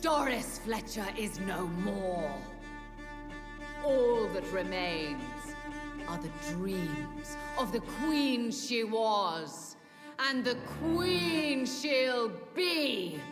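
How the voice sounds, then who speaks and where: A woman's voice: fairly high pitch, lively intonation, extremely slow. A woman playing a grandiose, vengeful undead vampire queen in a video game, with a theatrical, regal delivery. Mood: bitter, vengeful. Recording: long cavernous reverb, cello music behind.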